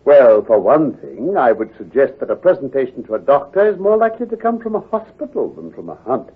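An actor playing a brisk, confident, teasing gentleman detective with a British accent, precise and patronizing, in an old-time radio drama. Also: mid-range voice, very animated, breathy edge. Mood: dryly superior. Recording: muffled, lo-fi vintage radio recording.

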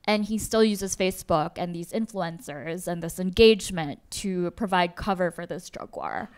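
A woman's voice: fairly low pitch, normal speed. A woman in her thirties from the Philippines, a native Tagalog speaker, answers conversationally as a guest journalist on stage, thinking aloud hesitantly into a handheld mic. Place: auditorium stage. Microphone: handheld microphone held at chest.

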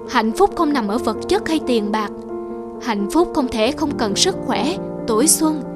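A woman voicing a gentle, regretful elderly father in an earnest, admonishing way for an animated moral drama. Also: sits high.

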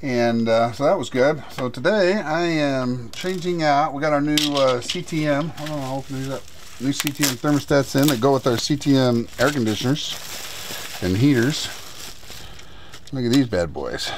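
A man's voice: medium pitch, moderate pace, noisy background. A man hosting a sailing vlog in a casual, encouraging way, giving step-by-step instructions aboard his boat. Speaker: vlog host and sailor. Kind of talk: casual vlog talk and coaching. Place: aboard a sailboat.

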